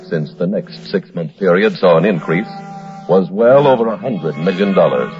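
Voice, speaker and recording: A man with an American accent reading scripted narration in a formal, measured, grave 1940s radio style, on an old broadcast recording. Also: lowish voice. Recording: dull old radio recording, music behind.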